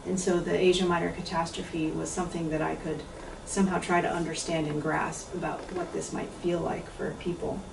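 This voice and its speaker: A woman in her fifties, an author and translator, talks about her book in a measured, thoughtful way, pausing often with "um"s. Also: on the low side, gravelly, noisy background. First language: English. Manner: measured, thoughtful, with frequent pauses and "um"s. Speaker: author and translator giving a talk. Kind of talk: book talk with poetry reading. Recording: echoey room, voice picked up from a distance.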